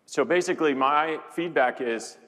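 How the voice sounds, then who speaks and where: A man's voice: on the high side, normal speed, a bit bright. Middle-aged man on a reverberant keynote stage. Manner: repeats words and phrases for emphasis. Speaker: chief executive co-presenting a keynote. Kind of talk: conversational keynote presentation. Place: large conference stage with audience. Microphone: lapel mic. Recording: reverberant large hall sound.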